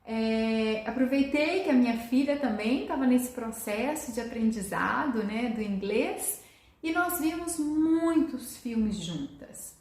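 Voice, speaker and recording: A middle-aged woman gives an unhurried Portuguese lesson, her voice sitting a little high as she walks through each point. She keeps asking questions and then answers them herself. The room around her is echoey. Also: expressive, darkish tone. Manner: explains step by step, often adds "né?" and asks itself questions. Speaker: Portuguese teacher. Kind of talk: informal online lesson.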